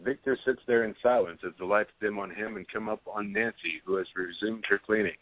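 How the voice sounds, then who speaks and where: A man's voice: on the low side, fairly even intonation, a little fast. A man reading stage directions as scripted narration for a play, with narrow phone-like sound.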